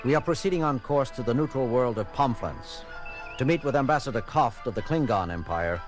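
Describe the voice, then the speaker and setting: A man's voice: medium pitch, expressive, a little fast, natural voice. A man playing a confident, wry starship captain in a science fiction audio drama, speaking in a commanding, formal way. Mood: brisk, businesslike. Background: music.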